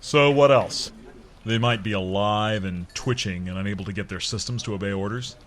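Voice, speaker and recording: A man plays a gruff space station commander in a sci-fi audio drama. His voice is on the low side, and he is curt and impatient, demanding answers from subordinates. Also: normal speed, fairly bright tone, lightly acted. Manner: curt, demanding, barked questions.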